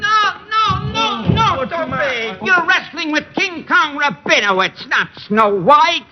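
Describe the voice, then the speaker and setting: An actor playing an excitable, bossy little wrestling coach in an old radio drama, fussily repeating his orders. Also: extremely high pitch, slow pace, over a noisy crowd, full character voice. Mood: agitated bossy. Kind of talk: 1940s-50s radio comedy-drama acting. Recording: muffled old radio recording, faint noise.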